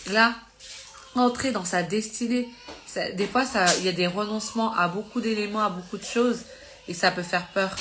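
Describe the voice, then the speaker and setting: A woman's voice: mid-range voice, sharp tone, slight background noise. A woman with a slight cold, teaching about faith on a live stream in an informal, conversational way, full of fillers and self-corrections. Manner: earnest and encouraging.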